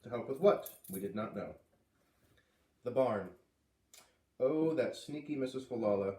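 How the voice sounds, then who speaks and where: A man reading a children's book aloud, expressively, with dramatic pauses on key words. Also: mid-range voice, darkish tone. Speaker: read-aloud reader. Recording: echoey room, distant microphone.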